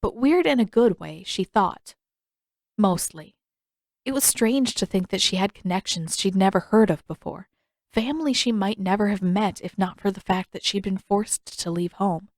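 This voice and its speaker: A woman narrates a story in a scripted audiobook style. Her pitch is mid-range for a woman, and the recording is dry with very little room sound. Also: moderate pace, dry recording. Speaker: fan fiction narrator.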